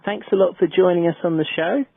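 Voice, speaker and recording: A man's voice: high-pitched, steady tone, fast, creaky, husky, dry recording. A man from England hosting a radio show, friendly and brief, laughing often while interviewing a guest. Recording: tinny dry phone line.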